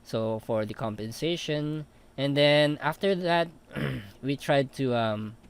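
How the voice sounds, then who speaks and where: A young man explains his mixing plugins one by one in an unhurried baritone. He talks slowly, pausing often with fillers as he clicks through settings, in a relaxed, hesitant manner. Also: clear, resonant tone. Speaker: audio mixing tutor. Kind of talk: casual walkthrough of vocal mixing plugins.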